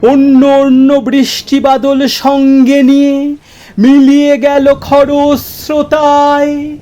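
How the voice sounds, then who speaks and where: A reciter performing poetry in a theatrical, emotive way that moves between hushed and full-voiced delivery, with music behind. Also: high-pitched, completely flat delivery, slow. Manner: theatrical, swelling from hushed murmurs to loud emotive lines. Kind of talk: expressive dramatic poetry recitation over music.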